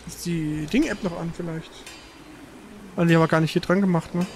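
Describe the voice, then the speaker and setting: A man's voice: a little high, fairly even intonation, a little fast, a little mellow. A man streaming a video game, thinking aloud in casual, informal live commentary. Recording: faint background noise.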